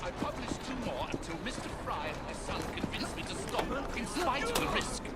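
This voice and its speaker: Anguished older father, Victorian publisher, very high swooping voice, loud music underneath. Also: sing-song, normal speed. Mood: grieving. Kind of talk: video game acting. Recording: loud music and street ambience behind.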